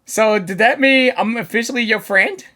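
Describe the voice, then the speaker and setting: A woman game streamer giving casual, rambling, excitable live reactions. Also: a little high, normal speed. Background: game music.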